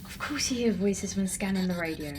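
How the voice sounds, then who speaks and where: A young woman playing a feisty, informal, excitable ghost-hunting vlogger in an audio drama. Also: medium pitch, a little fast, a little hiss or hum, close to an everyday voice. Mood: chatty, curious. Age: young adult.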